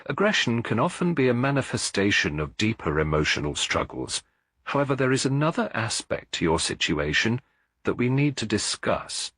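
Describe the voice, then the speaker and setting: A man's voice: medium pitch, very animated, normal speed, a bit bright, no echo, voice pushed up. Man acting out elderly lady detective, storybook mystery, composed, polite, probing, dry sound. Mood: gentle, firm. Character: gentle but firm elderly lady detective.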